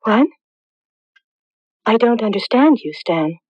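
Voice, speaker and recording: An actress playing a frail, bewildered young woman in a radio drama, with fragile, halting speech. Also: fairly high pitch, big pitch swings, no room sound, full character voice. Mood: subdued. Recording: dull lo-fi old radio recording.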